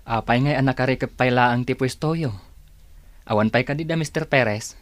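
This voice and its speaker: Man acting mid-pitched, practical Filipino market vendor. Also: normal speed, no echo, lightly acted. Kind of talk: Ilocano radio drama acting. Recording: faint music behind the voice.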